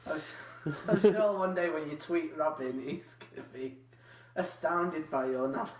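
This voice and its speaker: A man's voice: fairly high pitch, moderate pace, crowd noise. Rambling young man, roomy echo. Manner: rambling, hedging with many "like"s. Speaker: podcast host. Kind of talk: casual comedy podcast chat. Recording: roomy echo with faint hum.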